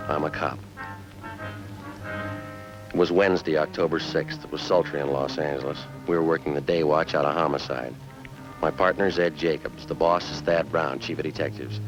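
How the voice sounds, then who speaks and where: An actor with an American accent playing a terse, no-nonsense police detective in a 1950s radio crime drama, clipped and deadpan. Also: male voice, rumbling low, lo-fi sound. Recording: band-limited old radio recording, loud music.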